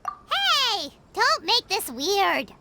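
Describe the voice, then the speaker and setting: Tiny childlike game fairy, excitable, squeaky, slow-paced delivery, noisy capture. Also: female voice, exaggerated voice. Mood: excited, cheeky. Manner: refers to self in third person, exclamatory. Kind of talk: cartoonish video game voice acting.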